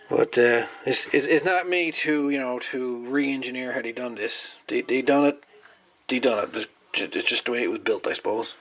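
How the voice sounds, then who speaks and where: Gravelly-voiced man rambling through a repair. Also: medium pitch, fairly bright tone. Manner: rambling, repeats words, folksy colloquial grammar. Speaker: hobbyist radio repairer presenting. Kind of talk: casual hands-on repair commentary. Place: indoor workbench.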